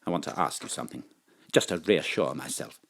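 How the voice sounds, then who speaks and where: A man's voice: a bass voice, expressive, fairly quick, a bit bright, exaggerated voice. A man acting in an audio drama as an earnest, needy lover in a doomed affair. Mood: earnest, yearning. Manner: earnest, questioning. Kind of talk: romantic melodrama audio acting.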